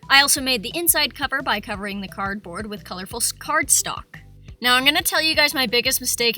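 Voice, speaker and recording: Female craft vlogger, high and crisply bright, animated and playful voice-over, self-deprecating, faint music bed. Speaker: video creator and narrator. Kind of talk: scripted craft tutorial voice-over with personal asides. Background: background music.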